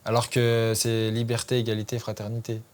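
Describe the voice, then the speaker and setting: A man's voice: lowish voice, completely flat delivery, fairly quick. Easygoing man in casual talk. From France. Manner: loose, informal speech with many tag phrases. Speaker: guest, MMA fighter. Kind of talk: casual podcast conversation. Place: podcast studio.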